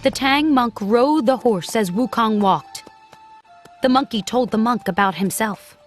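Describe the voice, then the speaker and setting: Slow, high-voiced woman storyteller. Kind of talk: scripted children's story narration. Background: clear background music.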